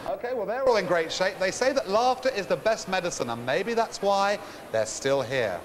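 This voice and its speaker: A man's voice: high-pitched, lively intonation, moderate pace. A British man hosting a game show, chatting with contestants in a teasing, upbeat way. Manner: teasing, chirpy, laughs often. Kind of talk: upbeat presenter chat with contestants. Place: large indoor shopping centre studio set. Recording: noisy crowded venue sound.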